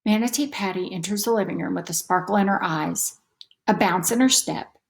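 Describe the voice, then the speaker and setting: A woman reading a children's story aloud in an expressive, deliberate storytelling manner. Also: mid-range voice, vocal fry. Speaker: storybook reader.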